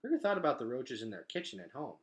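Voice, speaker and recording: A man reading a children's novel aloud in an expressive, dramatic storytelling style. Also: medium pitch, fast. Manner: animated, dramatic delivery.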